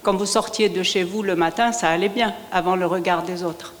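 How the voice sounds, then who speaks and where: A woman's voice: fairly low pitch, steady tone, brisk. A woman in the audience asking the panel an earnest, probing question. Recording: reverberant hall with faint room noise.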